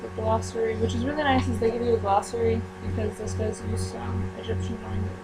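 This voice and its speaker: A chatty woman with a fairly high voice talks to her viewers in a loose, rambling way. She drifts off mid-thought and corrects herself as she goes. Loud music plays underneath her voice. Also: little pitch movement, fast talker, rough recording. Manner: chatty, rambling, frequent ums and self-corrections. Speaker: YouTuber running a book club. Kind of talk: casual chat to camera.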